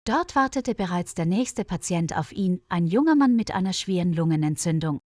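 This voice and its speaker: A woman narrating a scripted story in a clear, measured way for learners. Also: lively intonation, darkish tone, no room sound, clean studio sound. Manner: clear, measured reading with quoted dialogue lifted higher.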